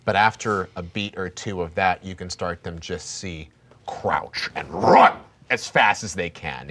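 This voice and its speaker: A man in his thirties, a game master improvising descriptive horror narration with dramatic build-ups and pauses. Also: deep voice, a bit bright, a little hiss or hum. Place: indoor studio set dressed as a bunker.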